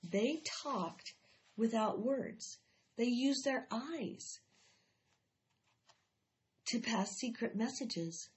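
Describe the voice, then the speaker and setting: A woman reading a children's chapter book aloud in an expressive, animated way. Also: fairly high pitch, muffled recording. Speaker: storyteller.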